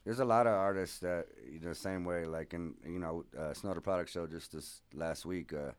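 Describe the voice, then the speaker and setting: A man's voice: low voice, clear, resonant tone, dry recording. A man hosting a casual radio interview, easygoing and encouraging, keeping the talk moving. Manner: easygoing, encouraging, quick backchannels. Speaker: radio host.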